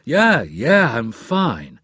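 A man's voice: medium pitch, wildly swooping pitch, extremely slow, sharp tone, breathy edge, dry recording, clean studio sound, voice pushed up, exaggerated voice. A man voicing an evasive, embarrassed husband, a tough operative who answers hesitantly and tries to placate, as in an audiobook.

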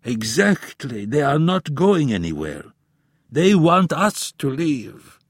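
A man voicing a frail elderly male professor in an audiobook, with a raspy, slightly unsteady voice and a slow, grave, weary way of speaking. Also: fairly high pitch, very animated, dry recording, clean studio sound, voice pushed up, heavily acted. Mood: impassioned, grave. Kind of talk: audiobook character voice.

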